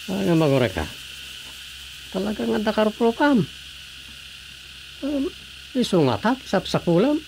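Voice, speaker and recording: An expressive village man gives advice with widely swinging intonation, in radio drama style. Faint insect ambience runs behind him. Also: high voice, measured pace, gravelly, pauses often, slight background noise, heavily acted, poor audio. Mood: helpful.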